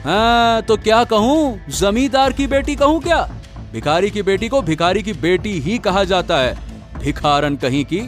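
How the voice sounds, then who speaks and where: A man's voice: high-pitched, lively intonation, measured pace, faint music behind. A man playing an aggressive, greedy young husband who bullies his wife in a cartoon moral story. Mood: furious, threatening.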